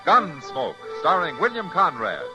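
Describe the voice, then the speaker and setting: A man's voice: on the high side, wildly swooping pitch, unhurried. A man with an American accent announcing dramatically in the style of 1950s radio. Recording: vintage radio recording with loud music. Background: loud music.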